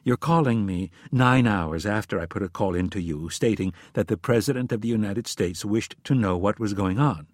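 A male narrator reads the part of a shrewd woman running American intelligence in an audiobook thriller. The delivery is measured, diplomatic and firm, with a slightly husky edge and a dry, close sound. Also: fairly low pitch, no room sound, natural voice. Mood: calm, pressing. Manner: neutral, measured, controlled.

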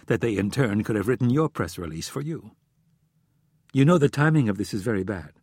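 A man's voice: on the low side, lively intonation, darkish tone, breathy edge, no room sound, studio quality. Man reading as a composed, firm American female intelligence director. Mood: feigned sincerity. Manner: neutral, measured, controlled.

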